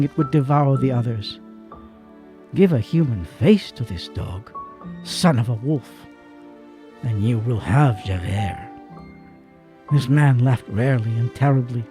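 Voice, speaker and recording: A man's voice: on the high side, mellow. A man narrating a radio drama in a grave, emphatic way that swells on key words.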